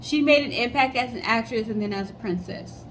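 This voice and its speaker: A woman's voice: a little high, fairly quick, a bit bright, slight background noise, poor audio. A woman co-hosting a casual storytelling show, chatting conversationally and speaking straight to viewers. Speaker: co-host of a storytelling guessing show.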